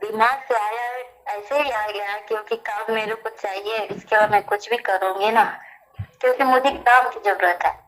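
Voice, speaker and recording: A woman caller with a medium-pitched voice speaks on a phone call. She answers in short, plain sentences and insists, repeating herself often. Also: steady tone, moderate pace, fairly bright tone. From Delhi, India. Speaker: caller seeking film work. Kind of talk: phone conversation.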